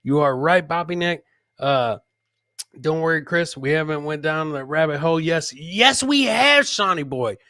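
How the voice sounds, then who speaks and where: Man, comedy show host, somewhat high voice, dry close sound, casual jokey banter, reacting to clips. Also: lively intonation, normal speed, bright, forceful tone, dry recording. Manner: jokey, addresses co-host and live chat by nickname.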